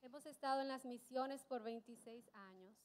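High-voiced woman interpreting a sermon. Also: normal speed. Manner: steady consecutive interpreting, occasional self-corrections. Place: church stage, large hall.

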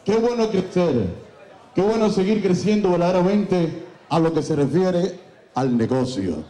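A man's voice: high voice, expressive, a little slow, dark tone. A man hosting an awards gala on stage, speaking emphatically like a preacher, blessing the crowd and calling for applause through a handheld microphone. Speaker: event host. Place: large banquet hall, on stage. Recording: echoey banquet hall.

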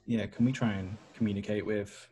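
A man's voice: on the low side, steady tone, fairly quick, mellow, husky. A man playing a tabletop roleplaying game on a video call, chatting casually with the group.

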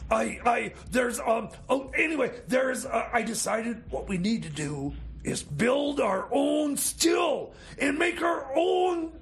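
Frantic tipsy schemer, extremely high creaky voice, stammering, rambling, radio comedy. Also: male voice, sing-song, slow pace, hardly any pauses, raised voice, slight background noise, full character voice. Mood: excited, scheming. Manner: stammering, repeats words, rambling. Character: frantic, boozy, scheming male time machine mechanic. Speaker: comedy sidekick on a radio show. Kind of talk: improvised comedy radio theater.

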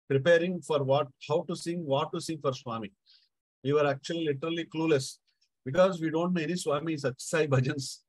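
A middle-aged man with a South Indian accent speaks in a slightly high voice. He tells a devotional story informally, often halting and repeating himself. The sound is dry, with little room echo. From Andhra Pradesh, India. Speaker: Carnatic musician, guest speaker. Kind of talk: devotional anecdote, casual spoken storytelling.

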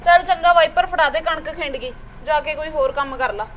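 A woman's voice: high-pitched, fairly quick, fairly bright tone, slight background noise. A woman with a Punjabi accent playing a proud, bossy elder daughter-in-law in a family drama. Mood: irritated, bossy. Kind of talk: colloquial domestic drama acting. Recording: roomy, echoing sound.

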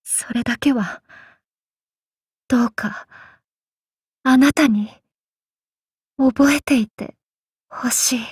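A woman voicing a tormented, vengeful samurai woman in an anime game, speaking in archaic, solemn, halting phrases. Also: sits high, breathy edge, dry recording, exaggerated voice. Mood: anguished, earnest. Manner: archaic, solemn speech with halting pauses, sometimes sinking to whispers. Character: vengeful possessed samurai woman, tormented, torn between two selves. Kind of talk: anime game character voice lines.

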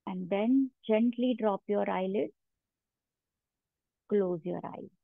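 A woman guiding a meditation as a yoga instructor, giving measured instructions with long pauses between them. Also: medium pitch, gravelly, dry recording. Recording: narrow phone-like sound, very dry.

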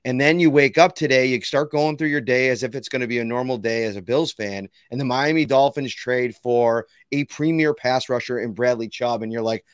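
A man in his thirties hosts a casual sports podcast from a room at home, his voice a little hoarse. He talks in an upbeat, joking way and laughs easily. The sound is dry and close, with almost no room echo. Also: medium pitch, a little fast, hardly any pauses. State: slightly hoarse. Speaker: podcast host.

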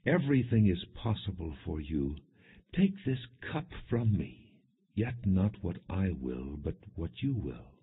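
Grave, troubled male teacher read by a man for scripture audiobook: earnest, commanding, husky. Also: low-pitched, very animated, measured pace, full character voice. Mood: pleading. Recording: muffled, narrow phone-like sound.

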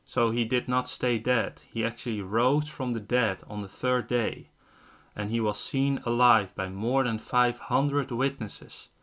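A man's voice: medium pitch, fairly even intonation, a little slow, a bit bright. A twenty-year-old man from the Netherlands preaching in an online chat, insistent and given to quoting scripture. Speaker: street-style online preacher. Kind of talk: evangelistic debate in casual chat.

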